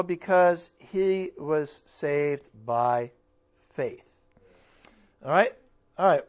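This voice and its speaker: A man preaching a sermon with a strained voice, nearly worn out, asking the congregation rhetorical questions and swinging between loud and soft. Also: high voice, dull audio. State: strained, almost losing his voice. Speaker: pastor.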